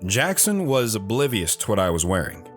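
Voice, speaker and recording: A man's voice: on the low side, big pitch swings, moderate pace, soft background music. Man narrating a story. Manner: plain storytelling, looking back. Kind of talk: scripted narration.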